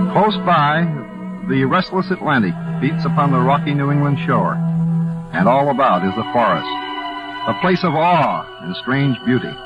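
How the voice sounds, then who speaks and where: Formal man on dull 1940s radio. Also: mid-range voice. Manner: formal, solemn oratory. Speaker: guest producer and presenter of a radio play. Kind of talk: 1940s radio scripted narration.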